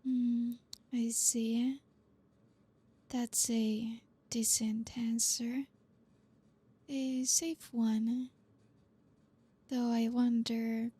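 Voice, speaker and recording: A woman acting as teasing dominant lady boss, ASMR roleplay, soft mellow tone, even intonation, breathy half-whisper. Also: fairly high pitch, full character voice. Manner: breathy, slow, often dropping into whisper.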